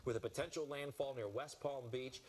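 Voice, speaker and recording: A man's voice: medium pitch, brisk. A man giving a live television weather forecast as a meteorologist. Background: control room chatter.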